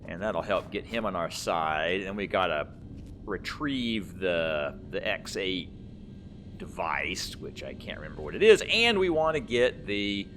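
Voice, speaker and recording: A man hosts a let's play in a somewhat low voice. He explains things casually and thinks aloud in a rambling way, over faint background noise. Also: very animated, a little slow, fairly bright tone. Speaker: gamer, let's play host. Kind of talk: casual gameplay commentary.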